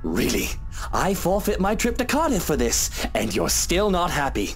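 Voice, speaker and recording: A woman's voice: lowish voice, very animated, normal speed. A woman voicing a flippant, teasing, flirtatious husband in a visual novel, with a casual drawl. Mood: defensive. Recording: faint music behind voice.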